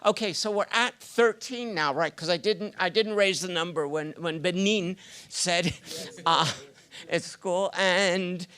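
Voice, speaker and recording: A man informally moderating a conference session, speaking in a halting way and repeating words. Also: sits high, sharp tone, smooth. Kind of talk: informal meeting facilitation. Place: conference meeting room.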